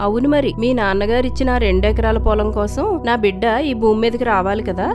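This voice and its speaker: Discontented young cartoon wife, female actor, brisk complaining delivery, music underneath. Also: mid-range voice, fairly even intonation, close to an everyday voice. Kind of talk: cartoon story voice acting.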